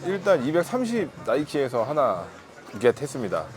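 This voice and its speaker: A man's voice: medium pitch, big pitch swings, normal speed, music in the background, a crowd in the background. A man talking casually as a vlog host, with a playful, teasing manner. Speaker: vlog host, husband in a couple channel. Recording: busy noisy urban public places.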